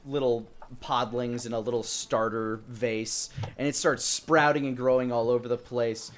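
A man in his thirties improvising narration as a dungeon master, pausing to think as he goes. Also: medium pitch, fairly even intonation, a little hiss or hum. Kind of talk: improvised tabletop game narration.

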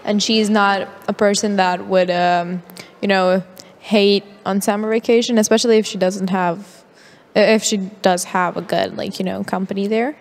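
A woman's voice: medium pitch, steady tone, normal speed. A teenage girl, a young actress, answering interview questions and interpreting for her director, hesitant with many fillers. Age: teens. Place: festival press area, large hall. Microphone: handheld mic. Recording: hall reverb.

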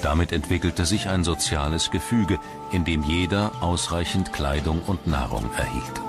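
A man reading scripted documentary narration in a measured, formal manner. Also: deep voice. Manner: measured, formal read delivery. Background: background music.

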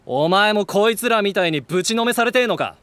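Cocky teen brawler in a school drama: rough sneer, fairly high pitch, very animated. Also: male voice, fairly quick, no room sound. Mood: irritated. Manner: rough, sneering, brash slangy talk. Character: cocky, hot-tempered teenage male delinquent brawler. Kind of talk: Japanese voice drama acting.

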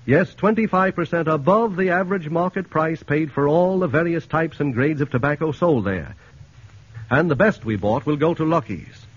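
Male 1940s announcer for sponsor spots, measured and persuasive, husky tone, dull lo-fi radio sound. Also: a little high, lively intonation. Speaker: announcer reading sponsor message. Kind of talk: 1940s radio advertising pitch.

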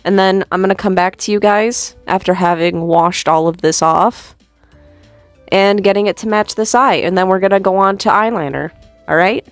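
A woman's voice: mid-range voice, moderate pace, no echo, faint music behind. A young woman chatting casually through a makeup routine, her voice a little sore, self-deprecating as she works. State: slightly sore voice. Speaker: makeup vlogger. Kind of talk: casual get-ready-with-me chat. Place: small bedroom with red curtain backdrop. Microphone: small desk mic on boom arm close up.

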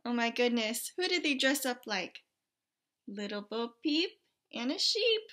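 A woman teacher reads a storybook aloud to children in a high, bright voice. She takes her time, exclaiming over the pictures and asking questions as she goes. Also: very animated, a little slow. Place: small indoor room with bulletin board.

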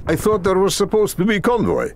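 A man playing a stern, devout Russian priest in a video game, solemn and clipped, with a Russian accent and gravelly voice. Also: high-pitched, wildly swooping pitch, a little fast, slight background noise, heavily acted. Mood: dry, resolute. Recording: loud music behind the voice.

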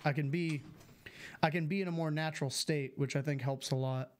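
A man with a fairly high voice chatting his way through a live game stream at home. He reads chat messages aloud and answers them in an easygoing way, heard on a close studio mic. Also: fairly even intonation, brisk, slight background noise. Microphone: studio mic with filters.

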